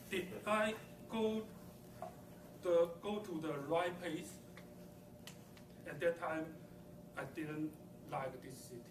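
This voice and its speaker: Man with a Chinese accent, hesitant first-time speaker, slow delivery, distant and noisy room recording. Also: fairly high pitch. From southern China. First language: Cantonese. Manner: hesitant, slow, with many ums and uhs. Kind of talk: prepared speech. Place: meeting room. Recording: echoey room, mic far off, background noise.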